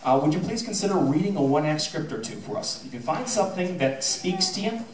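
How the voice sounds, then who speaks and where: A middle-aged man giving an informal speech on stage as a theatre director, telling anecdotes in an animated, projecting way. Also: fairly high pitch, fairly quick. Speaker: high school theatre director. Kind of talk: informal speech to an audience, with anecdotes and thank-yous. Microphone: handheld microphone through PA, filmed from the audience. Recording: roomy, distant audience-camera recording.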